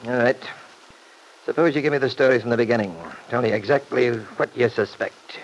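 An actor playing a shrewd, dryly sardonic attorney in an old radio serial, probing and deliberate. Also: male voice, mid-range voice, lightly acted. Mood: brisk, questioning. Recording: noisy old radio broadcast recording.

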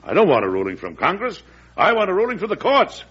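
An embattled president in a 1940s radio play. He is defiant and oratorical, with a slightly rough, husky voice. The old recording is dull and muffled. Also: high-pitched, wildly swooping pitch, fast, a bit bright, exaggerated voice. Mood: tense, determined. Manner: emphatic, oratorical. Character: embattled male US president, defiant, restless and indignant. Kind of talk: 1940s radio drama acting.

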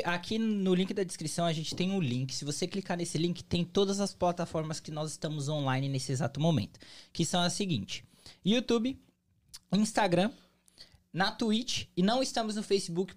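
A man co-hosting a live podcast who talks in a jokey, animated way while reading out notices and introducing the guest. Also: normal speed. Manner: animated, jokey, swings pitch a lot. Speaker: podcast co-host. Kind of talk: casual live podcast chat.

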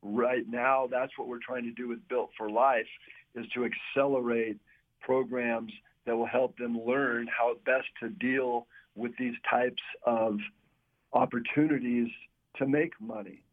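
A mature man answering as a university athletics official in a radio interview, measured and advisory, on a muffled band-limited clip. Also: mid-range voice, steady tone.

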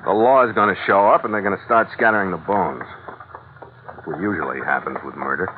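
A man's voice: low voice, brisk, background noise, close to an everyday voice. A man playing a hardboiled, cynical American sea skipper in an old-time radio adventure, talking and narrating in wry, tough slang. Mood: cynical, blunt. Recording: tinny vintage radio recording with loud music.

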